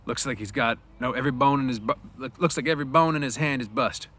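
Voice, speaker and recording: A man voicing a steady, commanding ranch hand with plain, folksy phrasing, in a storybook reading. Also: mid-range voice, fast, pitched above the performer's own voice, close to an everyday voice. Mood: urgent.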